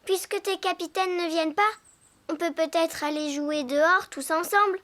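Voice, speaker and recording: Une femme qui joue une petite fille de dessin animé, joyeuse et impulsive, au parler enfantin et expressif. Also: female voice, very high-pitched, moderate pace. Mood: apologetic, friendly. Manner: childlike, expressive, exclamatory. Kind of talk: children's cartoon dubbing.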